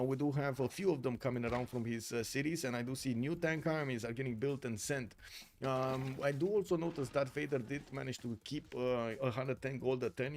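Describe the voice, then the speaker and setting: A man's voice: medium pitch, a little hiss or hum. A man casting a strategy game tournament live, chatting casually with viewers and breaking the play-by-play with lots of fillers. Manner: casual, filler-heavy, talks to viewers by name. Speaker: tournament caster and streamer. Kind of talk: live game commentary while reading chat.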